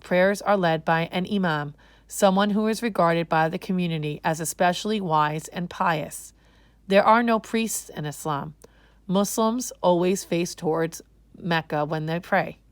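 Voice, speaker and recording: Woman doing a kids book read-aloud, slightly low voice, very dry close sound. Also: moderate pace, dry recording. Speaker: storybook reader. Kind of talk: scripted read-aloud narration of a children's story.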